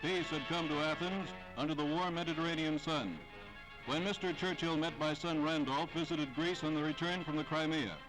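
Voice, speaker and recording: A man's voice: fairly high pitch, steady tone, normal speed, poor audio. A man delivering scripted 1940s newsreel narration in a formal, declamatory way with dramatic phrasing. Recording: vintage 1940s newsreel soundtrack, loud music.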